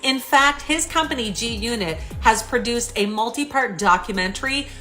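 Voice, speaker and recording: A woman's voice: on the high side, lively intonation, moderate pace, sharp tone, soft background music. A woman giving celebrity news commentary and reading out posts.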